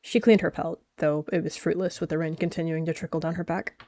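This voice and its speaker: Slightly low-voiced woman narrating roleplay. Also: fairly even intonation, a little fast, mellow, dry recording. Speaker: roleplayer narrating her character. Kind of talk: descriptive story narration.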